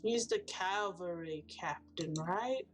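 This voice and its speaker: A woman's voice: lowish voice, slow pace. A woman streaming a video game, chatting casually to her viewers in a rambling running commentary full of jokey asides. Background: faint game music.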